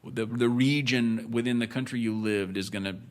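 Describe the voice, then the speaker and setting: A man's voice: mid-range voice, steady tone, moderate pace. A man as a guest on a candid podcast, talking bluntly and with some swearing, breaking off and restarting his sentences. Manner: blunt and profane, speaks in bursts with restarts.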